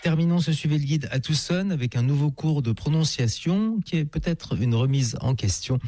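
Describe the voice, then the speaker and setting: A man's voice: on the high side, animated, moderate pace, dark tone. A man hosting and interviewing on a travel radio show in a conversational presenting style. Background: music.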